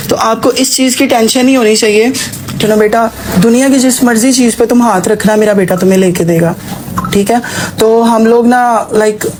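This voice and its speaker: A well-off matchmaking auntie, put on by a woman for a prank call, coaxing and persuasive in a mid-pitched voice. She drops English words into her talk. There is some noise behind her. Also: darkish tone, natural voice. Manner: auntie-like, persuasive, addresses listener as child, English words mixed in. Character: well-off mother from UK seeking a bride, coaxing. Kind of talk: acted prank phone call.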